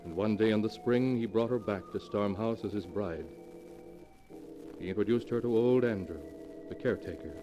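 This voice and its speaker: Low-voiced, portentous old-time radio narrator, male. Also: moderate pace, highs cut off. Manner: dramatic, portentous storytelling. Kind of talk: 1940s radio scripted narration. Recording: noisy 1940s radio recording.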